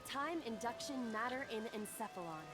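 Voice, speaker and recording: A woman's voice: a little high, animated, normal speed, lightly acted. An actress voicing a precise, instructive female AI eyeball companion in a detective video game, explaining things matter-of-factly. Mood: instructive, assured. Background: game music.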